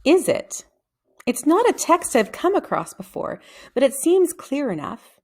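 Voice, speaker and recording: A woman's voice: high-pitched, sing-song, a little slow, voice pushed up, exaggerated voice. A woman voicing a nervous, suspicious older male Latin teacher in a children's storybook, explaining things precisely. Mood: scholarly interest.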